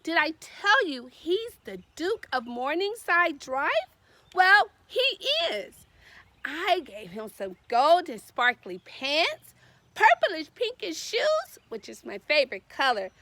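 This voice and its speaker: A woman's voice: sits high, sing-song, slow pace, pushed and bright, dry recording, full character voice. A woman voicing a boastful, playful little fairy girl from a picture book, with childlike, theatrical emphasis. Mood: matter-of-fact, boastful. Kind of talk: picture-book read-aloud.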